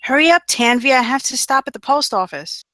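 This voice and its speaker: A woman reading the part of a confident, brisk young mother and wife at a script table read. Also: high-pitched, animated, fairly bright tone, no room sound.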